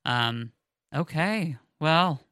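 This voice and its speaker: Chatty lady cohosting a podcast, rambly, smooth clear voice. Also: low-pitched, expressive, extremely slow, fairly bright tone, no room sound, studio quality. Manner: chatty, rambling with fillers and restarts. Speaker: podcast co-host. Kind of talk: casual podcast chat. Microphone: headphones on, close mic.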